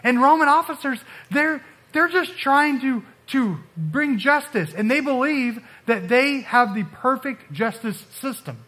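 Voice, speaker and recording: A man preaching a casual sermon in a high, bright, forceful voice. He talks loosely, with many fillers, and often acts out what people say. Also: measured pace, low-quality recording. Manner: casual, animated, with frequent fillers and acted-out quotes. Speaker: pastor.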